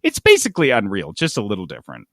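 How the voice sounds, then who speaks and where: Animated man joking on a gaming podcast. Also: on the low side, quick pace, no room sound, studio quality. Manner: riffing, sarcastic. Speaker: podcast host. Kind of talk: casual comedic gaming chat.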